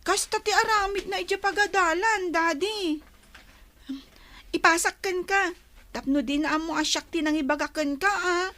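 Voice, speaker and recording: A woman's voice: very high voice, normal speed, pushed and bright. A woman playing a sly schoolgirl daughter, scheming and coaxing as she tattles, in a radio comedy-drama. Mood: tattling.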